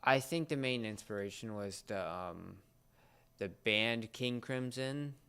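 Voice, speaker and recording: A man co-hosting a casual talk show, explaining things haltingly and searching for words. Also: mid-range voice, animated, pushed and bright, clean tone. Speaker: co-host of an entertainment talk show. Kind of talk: casual explanatory chat about anime.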